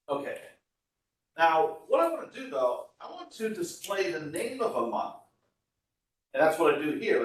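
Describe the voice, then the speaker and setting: A man giving an informal classroom lecture, explaining code step by step in a conversational way as a university instructor. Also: medium pitch. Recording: echoey room, voice far from mic.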